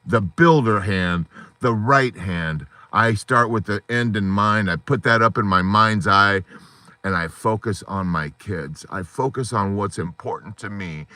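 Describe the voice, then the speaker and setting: A man hosting a support group live stream, giving a fervent, rambling pep talk full of metaphors, his voice hoarse, from inside a parked car at night on a phone. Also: on the low side, pushed and bright, dry recording.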